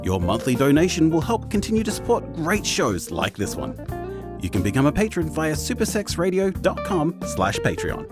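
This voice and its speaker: A man's voice: fairly high pitch, wildly swooping pitch, normal speed. A man reading scripted end credits as the announcer of a show. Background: guitar music.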